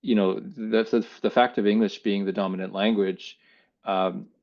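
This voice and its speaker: A man answering as a panelist in an online academic seminar, speaking haltingly with many restarts over a video call. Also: on the low side, steady tone, lo-fi sound.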